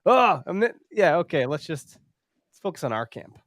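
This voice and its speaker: A man's voice: on the high side, very animated, moderate pace, a bit bright, no echo. Casual bantering man, close dry sound. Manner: informal, profane bantering. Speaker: podcast host. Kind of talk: casual chat.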